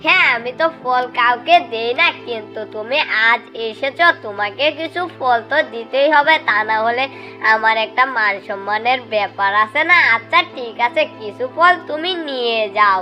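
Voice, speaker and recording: A woman's voice: fairly high pitch, lively intonation, normal speed, sharp tone, faint music behind. A woman voicing a proud but generous jackal in a storybook cartoon, casual and self-satisfied. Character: fruit-loving, proud but generous jackal orchard owner.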